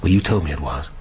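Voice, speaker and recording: An actor with a British accent playing a dry, probing middle-aged police inspector in a radio drama. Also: male voice, very deep voice, slight background noise. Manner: dry, questioning, sardonic.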